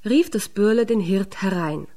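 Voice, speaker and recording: A woman narrator telling a fairy tale with a measured storyteller delivery. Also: mid-range voice, expressive, dark tone.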